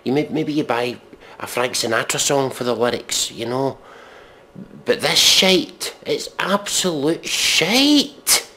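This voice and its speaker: A man giving a mock dramatic reading in deadpan, with exasperated, scornful, sweary commentary. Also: mid-range voice, takes it slowly. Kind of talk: deadpan recitation of pop lyrics with sweary commentary.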